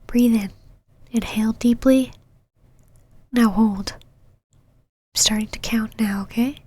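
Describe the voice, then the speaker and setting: Casting: woman to play domineering female vampire knight for ASMR roleplay, breathy whisper, unhurried, teasing and possessive. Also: on the high side, steady tone, darkish tone. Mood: irritated, commanding. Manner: slow, teasing, commanding.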